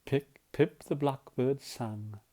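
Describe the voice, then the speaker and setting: Children's storyteller. Mellow, unhurried, expressive, playful questions and hushed moments. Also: medium pitch. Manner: animated, dramatic, often drops to hushed tones. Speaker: volunteer storyteller. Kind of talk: expressive read-aloud of a children's picture book, with questions to the listener.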